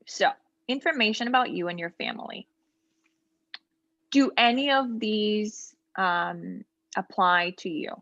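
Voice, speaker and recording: A woman trainer speaks at a middle pitch, patiently explaining each step of an online tool. Her talk is loose and unscripted, with many ums and small asides as she clicks along. She is heard over a dull, lo-fi video-call line with a dry, close sound. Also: creaky, a bit bright, no room sound. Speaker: legal aid trainer. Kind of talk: walkthrough of online software in a role-play interview.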